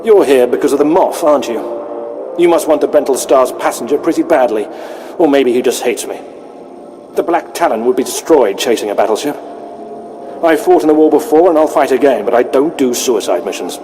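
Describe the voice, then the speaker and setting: An actor playing a proud veteran starship captain in a video game, firm, dignified and defiant. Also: male voice, medium pitch, expressive, brisk, background noise, lightly acted. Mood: resigned defiance.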